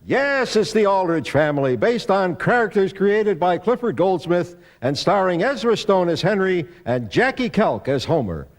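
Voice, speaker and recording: A man's voice: sits high, very animated, moderate pace, hardly any pauses. An American man playing a rousing 1940s radio announcer introducing sponsored programs. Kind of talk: old-time radio announcing.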